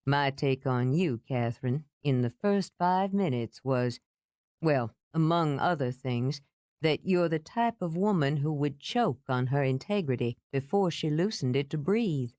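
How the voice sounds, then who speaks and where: A woman reading aloud, voicing a calm, steady man who comforts a crying woman in a low, reassuring voice. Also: measured pace, no room sound, studio quality.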